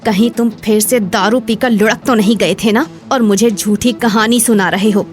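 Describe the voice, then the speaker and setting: A woman's voice: medium pitch, fairly even intonation, moderate pace, soft background music, lightly acted. A woman voicing a scheming, spiteful village eatery owner who scolds scornfully in a dramatized moral story. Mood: suspicious scolding.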